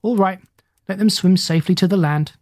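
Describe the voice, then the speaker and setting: A woman voicing a kindly but stern male animal doctor in a children's storybook, speaking in measured, polite commands. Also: medium pitch, darkish tone, dry recording, lightly acted. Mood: firm.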